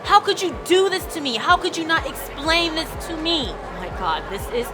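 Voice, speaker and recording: A woman's voice: lowish voice, a little fast, over music, crowd noise, natural voice. Woman playing a sarcastic panicky young woman. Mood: panicked. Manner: sarcastic, swearing. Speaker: first-person narrator and lead. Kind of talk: audio drama acting with narration.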